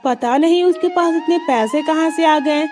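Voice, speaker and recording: A gossipy village parrot lady with a high-pitched voice, voiced by a woman. She chatters in a children's cartoon over background music. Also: normal speed, a little mellow, natural voice. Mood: gossipy, envious. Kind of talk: children's cartoon story dialogue.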